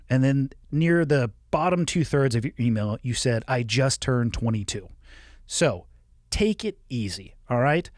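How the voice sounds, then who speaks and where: A man's voice: medium pitch, no room sound, clean studio sound. Frank man in his forties coaching. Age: 40s. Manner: frank, coaching, blunt at times. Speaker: podcast host. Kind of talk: reading and answering a listener's email.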